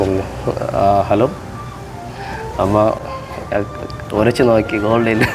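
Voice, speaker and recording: A man's voice: on the low side, fairly even intonation, slow pace, over music, low-quality recording. A man chatting casually and playfully as a prank host, teasing and repeating his questions insistently.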